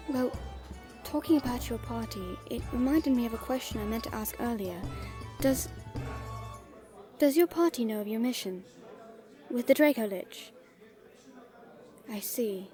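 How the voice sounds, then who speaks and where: Casting: female fantasy merchant played by a woman, higher-pitched voice, affectionate and teasing, intimate audio-drama delivery over background music. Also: expressive, a little slow, long pauses. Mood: caring, earnest. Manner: gently teasing, encouraging, conversational.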